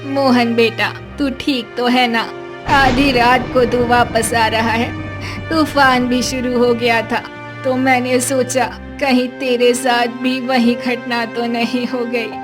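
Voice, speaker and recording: Slow, mournful old grandmother voiced by a woman. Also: fairly high pitch, little pitch movement, music in the background. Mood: worried. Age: older. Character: frail, anxious, loving old village grandmother. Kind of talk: dramatised Hindi cartoon story dialogue.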